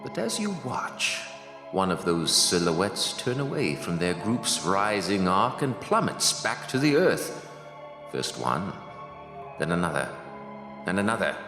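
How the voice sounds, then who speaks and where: Guy doing creepy dramatic radio horror intro, real low voice, portentous, echoey with music behind. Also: very animated, a little slow, exaggerated voice. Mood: ominous, suspenseful. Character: ominous deep-voiced announcer of a horror theater. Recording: echoey reverb with music bed.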